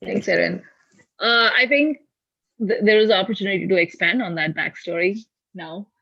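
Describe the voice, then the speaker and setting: A woman moderates a relaxed group feedback session over a video call. Her pitch sits in the middle of the range, and the sound is dull and lo-fi. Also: moderate pace, vocal fry, slightly rough. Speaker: moderator of a script table read. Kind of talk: casual feedback discussion. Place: online video meeting.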